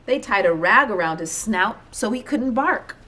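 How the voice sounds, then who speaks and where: A woman reading a children's story aloud in an expressive narrating style. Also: mid-range voice, moderate pace. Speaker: storybook reader.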